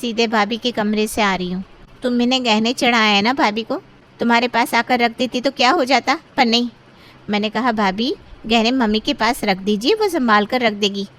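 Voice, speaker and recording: A woman's voice: a little high, normal speed, a bit bright, close to an everyday voice, low-quality recording. A woman playing a scheming, haughty young sister-in-law in a Hindi cartoon drama, boastful and sly. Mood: indignant, goading. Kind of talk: Hindi moral-story cartoon dialogue.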